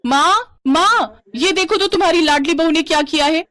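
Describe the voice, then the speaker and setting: A woman's voice: on the high side, lively intonation, normal speed, pitched above the performer's own voice, close to an everyday voice. A woman voicing an arrogant, scheming young sister-in-law in a melodramatic, accusing manner for a story. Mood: angry, tearful accusing.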